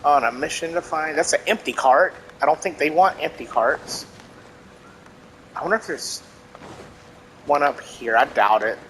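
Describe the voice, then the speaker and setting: A man's voice: a little high, normal speed, long pauses, fairly bright tone, slight background noise, low-quality recording. A man giving casual, self-deprecating let's play commentary, chatting half to himself. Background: game music.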